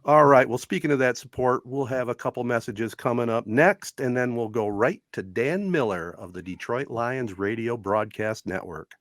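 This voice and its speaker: A man's voice: mid-range voice, moderate pace, few pauses, no echo, clean studio sound. A man hosting a podcast in a casual, conversational style. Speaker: podcast host.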